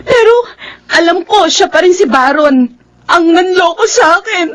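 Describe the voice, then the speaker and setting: A woman's voice: very high voice, animated, moderate pace, a bit bright. An actress with a Filipino accent playing a bitter, heartbroken young woman in an emotional radio drama style. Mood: tearful, outraged. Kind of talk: Filipino radio drama acting with inner narration.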